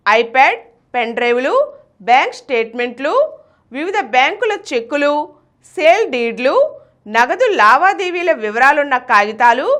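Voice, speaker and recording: Female news reader, high voice, animated intonation, scripted bulletin delivery.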